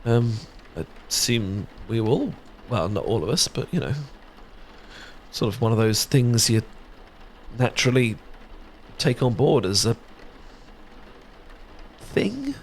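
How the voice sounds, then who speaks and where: A man's voice: mid-range voice, big pitch swings, takes it slowly, pauses often, slight background noise. A British man playing a shy, sweet best friend secretly in love, stammering and hesitant in a romantic ASMR audio roleplay. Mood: flustered, nervous.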